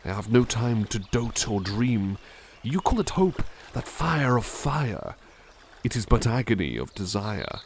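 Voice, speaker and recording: A man playing a kindly, gravelly butler. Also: on the low side, very animated, unhurried, slight background noise. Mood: reciting. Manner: polite, formal and soft-spoken; dramatic, lilting recitation of verse. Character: gentle, kindly adult male butler. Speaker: ASMR roleplay creator. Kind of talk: ASMR roleplay, reading poetry aloud.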